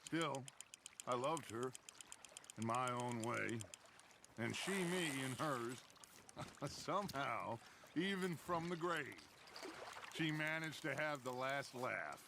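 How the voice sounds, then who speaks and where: An actor playing a charismatic gang leader in a game cutscene, talking in a measured, reflective way. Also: male voice, medium pitch, lively intonation, gravelly, background noise. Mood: wistful. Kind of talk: video game cutscene acting. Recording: outdoor game ambience over voice.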